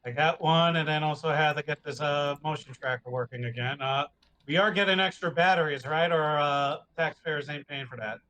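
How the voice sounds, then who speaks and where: A man's voice: a little high, normal speed, sharp tone, low-quality recording. A man playing a tabletop roleplaying game, chatting in character in a wry, salty way. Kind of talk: casual in-character chat.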